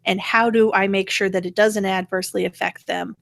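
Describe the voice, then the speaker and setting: A woman's voice: mid-range voice, fairly even intonation, brisk, creaky, dry recording. Opinionated woman host, dry close sound. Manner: explanatory, opinionated. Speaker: show host. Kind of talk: casual political conversation.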